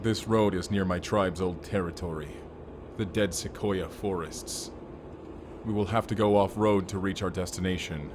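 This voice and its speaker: A man acts out a stoic warrior from a cyberpunk audio drama. He speaks in a fairly low voice with formal, terse, solemn phrasing. Ambient noise is clearly audible behind him. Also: fairly even intonation, moderate pace, natural voice. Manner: formal, terse, avoids contractions. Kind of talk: first-person audio roleplay acting.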